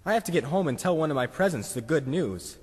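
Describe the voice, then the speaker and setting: Husky, animated little boy, played by a man. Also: on the high side, fast, in a large hall. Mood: eager. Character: curious, excitable young schoolboy. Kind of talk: children's Christmas puppet show.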